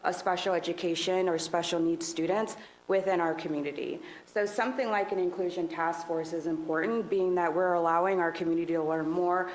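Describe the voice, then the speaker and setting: A woman presenting to a city council work session, speaking loudly in a relaxed, conversational way with many fillers. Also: fairly low pitch, brisk. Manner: loud voice. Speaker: task force presenter. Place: council meeting room. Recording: echoey meeting room with faint noise.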